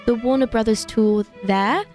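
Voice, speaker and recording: A woman's voice: a little high, normal speed, a little mellow, soft background music. A young woman chatting casually as a guest, in a self-deprecating, giggly way. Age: young adult. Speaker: podcast guest. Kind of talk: casual chat.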